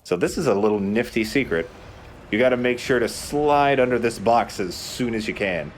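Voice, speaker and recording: A man's voice: mid-range voice, expressive, normal speed, a little hiss or hum. A man hosting a let's play, chatting casually and explaining the game with dry sarcasm. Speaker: let's play host and player. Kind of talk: casual gaming commentary. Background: video game ambience.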